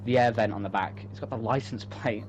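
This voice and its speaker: A man's voice: lowish voice, steady tone, fairly quick. A man chatting casually and unscripted, rambling enthusiastically with some swearing. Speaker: co-host. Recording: clearly noisy background, home-made recording.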